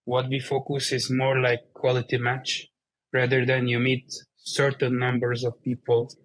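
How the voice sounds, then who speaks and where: Turkish-accented man speaking in a flat, even tone. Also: medium pitch, measured pace, dry recording, low-quality recording. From Turkey. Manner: explains plainly in non-native English. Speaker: guest, company CEO. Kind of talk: casual interview conversation.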